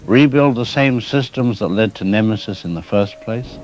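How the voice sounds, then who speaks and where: A man playing a sardonic, urbane host of dark tales, narrating an audio drama in a suave, ominous, musing way. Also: lowish voice, normal speed, muffled recording, slight background noise, close to an everyday voice. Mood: grim, questioning.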